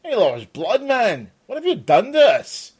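A man voicing a hot-tempered young sergeant who shouts and exclaims, in an audiobook reading. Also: a little high, very animated, moderate pace, breathy edge, pitched above the performer's own voice. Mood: angry accusing. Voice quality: raised, exclaiming.

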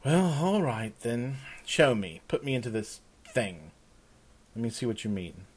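A man's voice: mid-range voice, animated, unhurried, husky. A man playing a blunt, headstrong woman of rank who talks informally and demandingly in a fantasy story. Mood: skeptical. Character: blunt, headstrong young noblewoman, easily exasperated. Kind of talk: fantasy audiobook character.

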